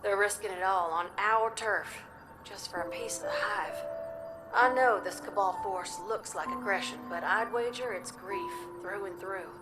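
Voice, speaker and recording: A woman's voice: medium pitch, measured pace, close to an everyday voice. Woman as a folksy pilot, music behind. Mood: thoughtful, sympathetic. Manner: folksy, colloquial, matter-of-fact. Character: plainspoken, folksy female pilot giving scouting reports. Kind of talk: scripted radio report in a video game.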